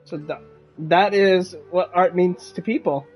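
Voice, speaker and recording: A woman's voice: fairly low pitch, measured pace, darkish tone, soft background music. A woman streaming a game, casually reading dialogue aloud and reacting as she plays.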